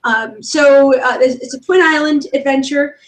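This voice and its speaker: A woman's voice: sits high, moderate pace. A woman who founded a tour company, presenting slides informally with many ums and restarts and laughing easily.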